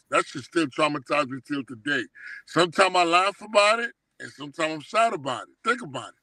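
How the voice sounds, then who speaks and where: A man's voice: a little high, pushed and bright, no echo. A middle-aged man reminiscing casually in street slang, emphatic and often checking that he is understood. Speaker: guest telling life stories.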